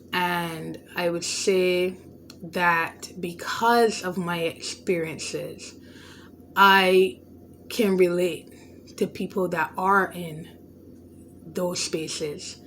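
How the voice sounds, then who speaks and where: A woman's voice: on the low side, a little hiss or hum. A woman with a stutter and a lisp, hosting a podcast and talking through a personal story in an earnest, reflective way, often restarting words. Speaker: podcast host. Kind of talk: unscripted personal monologue.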